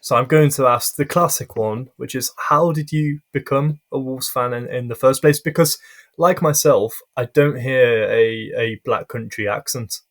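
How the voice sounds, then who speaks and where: A young man hosting a casual podcast interview, friendly and hesitant with frequent pauses and restarts. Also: mid-range voice. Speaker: podcast host.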